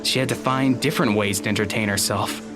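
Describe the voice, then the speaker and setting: An actor playing an awkward, polite teenage high school boy in an audio drama, speaking hesitantly with small stammers. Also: fast talker, over music, lightly acted. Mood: explanatory, apologetic. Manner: hesitant, stammering, nervous chuckles.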